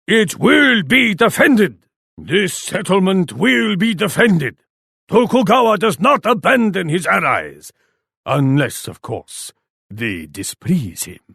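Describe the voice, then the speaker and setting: An actor playing a proud, commanding Japanese warlord in a video game, speaking in a haughty, formal, declarative way. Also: male voice, high voice, vocal fry, a bit bright, breathy edge, no room sound, clean studio sound, full character voice. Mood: proud, resolute, then cool menace. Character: proud, imperious Japanese warlord who speaks of himself grandly. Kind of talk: dramatic video game voice acting.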